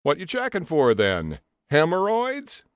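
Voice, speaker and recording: A man's voice: a little high, wildly swooping pitch, measured pace, no echo, studio quality, pitched above the performer's own voice. Guy doing a smug workmate from an audiobook, teasing and sneery, voice jumps up and around. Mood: mocking. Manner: mocking, taunting. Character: sneering, teasing male office colleague, mocking and smug. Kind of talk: audiobook character dialogue.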